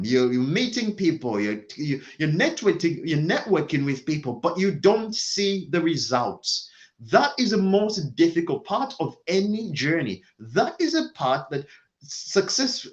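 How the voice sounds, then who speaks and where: Lively, emphatic male motivational speaker. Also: on the high side, dull audio. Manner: emphatic, repeats key phrases. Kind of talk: motivational talk.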